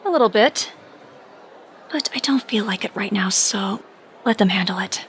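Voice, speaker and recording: A woman's voice: mid-range voice, normal speed, fairly bright tone, slight background noise, lightly acted. An actress playing a confiding young female superhero in a conversational audio drama. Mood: candid.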